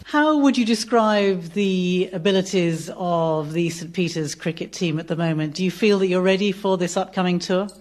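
A woman interviewer asking calm, direct questions. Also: fairly low pitch, moderate pace, hardly any pauses.

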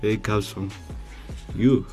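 A young South African man co-hosting a faith radio show, chatting casually in a halting way with lots of fillers and restarts. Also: lowish voice, steady tone, dark tone, soft background music. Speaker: radio co-host.

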